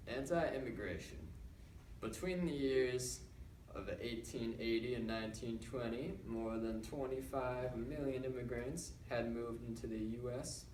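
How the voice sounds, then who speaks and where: A young man reading a scripted school newscast report in a halting, recited manner. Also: mid-range voice, a little mellow. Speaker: student news presenter in a class project. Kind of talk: scripted narration read as a newscast. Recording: roomy, distant mic with background noise.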